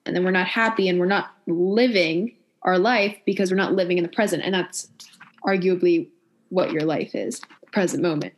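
A woman's voice: lowish voice, moderate pace. A woman in her twenties talking reflectively in a casual discussion, hedging with fillers.

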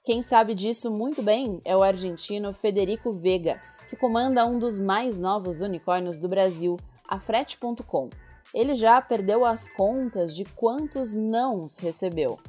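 A woman reporting and narrating a scripted radio news feature, measured, and speaking straight to the listener. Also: medium pitch, a little mellow, faint music behind. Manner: measured, addresses the listener directly.

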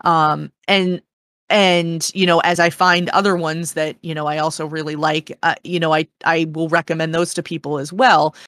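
A woman's voice: low-pitched, flat delivery, moderate pace, a bit bright, no echo, clean studio sound. A woman in a casual discussion, explaining in an earnest, encouraging and meandering way as a panel guest.

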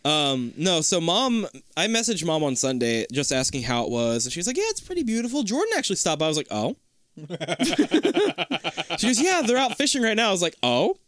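Man, lisp, loud projecting voice, lively pitch, slightly high, dry close sound. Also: fairly quick, dry recording. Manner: loud, projecting, laughs often. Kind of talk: casual chat.

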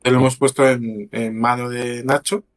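A man from Galicia co-hosting a podcast, speaking in a measured way as he introduces sections and steers the talk. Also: mid-range voice, little pitch movement. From Galicia, Spain. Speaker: podcast co-host. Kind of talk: casual podcast chat.

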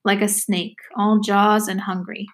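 A woman's voice: medium pitch, little pitch movement, measured pace, close to an everyday voice. A woman voicing an exhausted, fierce pregnant runaway who speaks in plain folk dialect in a story reading. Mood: fierce, hungry.

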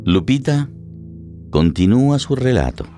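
A man delivering dramatic scripted narration for a radio play in measured, deliberate short phrases. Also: mid-range voice, sing-song, over music.

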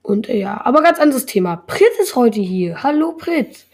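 A woman's voice: a little high, very animated, normal speed, darkish tone. A young woman in her teens hosting a casual podcast, rambling along with lots of filler words. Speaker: podcast host.

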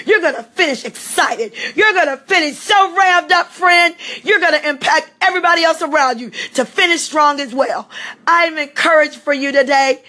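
High-voiced, slightly hoarse motivational woman. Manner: energetic, preachy repetition, occasional shouting. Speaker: motivational speaker. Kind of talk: motivational pep talk.

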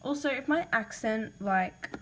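Slow, hesitant Australian woman. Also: on the high side, expressive, a little hiss or hum. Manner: hesitant, many ums, apologetic. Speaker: podcaster listing name ideas. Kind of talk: casual solo voice message.

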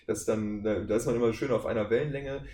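A man's voice: on the low side, fairly even intonation, fast, dark tone. A man speaking as a podcast guest and voice actor, explaining his work in an informal, conversational way. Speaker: guest, voice actor and audio drama maker. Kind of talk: casual podcast interview. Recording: echoey room, faint background noise.

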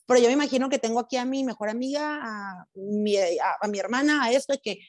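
Fast-talking Sinaloan Mexican woman. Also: fairly high pitch. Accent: Sinaloan Mexican Spanish. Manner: fast, animated, slangy and frank. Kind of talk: casual conversation.

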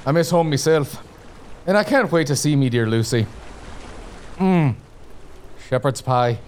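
A man's voice: fairly high pitch, a little slow, darkish tone, slight background noise, lightly acted. A man playing a hearty, food-loving, teasing sailor who talks colloquially in a comic audio drama. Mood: hearty, jolly. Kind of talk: gothic comedy audio drama dialogue.

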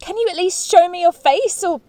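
A woman's voice: extremely high pitch, moderate pace, warm tone. A woman playing a frightened but defiant young woman in an audio drama. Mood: indignant. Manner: indignant, questioning.

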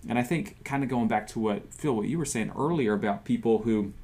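A man co-hosting a casual church discussion, talking in a rambling way with lots of "like" and "you know" and trailing off softly. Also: mid-range voice, fairly quick. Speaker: podcast co-host.

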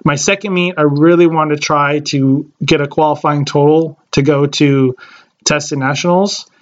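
A man's voice: a little high, steady tone, normal speed, highs cut off. A man in his late twenties, a podcast co-host chatting casually and rambling with many fillers and restarts. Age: late 20s. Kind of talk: casual chat.

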